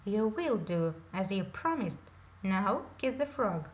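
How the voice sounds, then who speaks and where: A woman's voice: mid-range voice, very animated, takes it slowly. A woman voicing a stern, commanding king and father, in a dull lo-fi recording. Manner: stern, authoritative.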